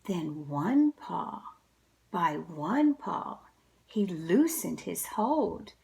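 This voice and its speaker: A woman reading a picture book aloud to children, with deliberate, dramatic, expressive storytelling. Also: on the low side, vocal fry.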